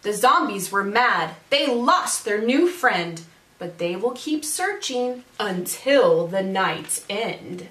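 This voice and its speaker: A woman's voice: medium pitch, very animated, takes it slowly, fairly bright tone. A woman in her thirties, a teacher, reading a children's storybook aloud expressively. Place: small indoor room with brick wall. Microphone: camera a few feet away. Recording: echoey room, mic at a distance.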